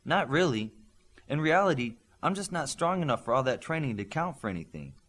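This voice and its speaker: Guy reading as a careful young superhero girl, sensible, pitch moves around a lot, audiobook. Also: sing-song, brisk, fairly bright tone. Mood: hesitant, reasoning. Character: cautious young female telepathic superhero student. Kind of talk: audiobook character dialogue.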